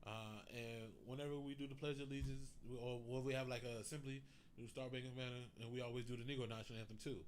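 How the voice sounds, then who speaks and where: A man's voice: mid-range voice, fairly even intonation, fast talker. An American man co-hosting a podcast, talking casually and emphatically in slangy, profanity-laced speech. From United States. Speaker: podcast co-host. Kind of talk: casual, animated back-and-forth conversation. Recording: clearly audible background noise.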